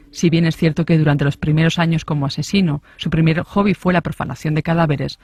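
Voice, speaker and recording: Male true-crime presenter, raspy higher register, scripted delivery, close dry sound. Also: a little mellow, no echo.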